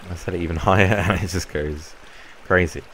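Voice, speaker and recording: A young man with a croaky voice from a cold, casually explaining a game build in a rambling way. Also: low-pitched, a little fast, noisy background. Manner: relaxed, rambling explanation with fillers. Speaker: Minecraft YouTuber. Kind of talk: casual gameplay tutorial.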